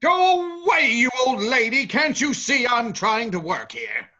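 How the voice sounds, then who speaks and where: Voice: medium pitch, very animated, a little slow, pushed and bright, lo-fi sound, exaggerated voice. A performer playing an ambitious, self-absorbed inventor father in a theatrical staged reading, with an exclamatory, dramatic delivery. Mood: irritated.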